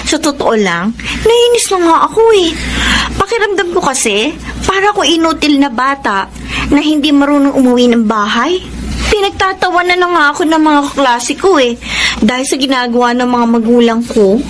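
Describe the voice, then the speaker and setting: A woman's voice: high voice, expressive, moderate pace, a little hiss or hum. A woman with a Filipino accent playing a playful, forthright, sheltered college student in a radio drama. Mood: exasperated. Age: young adult. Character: sheltered, friendly, teasing young college woman.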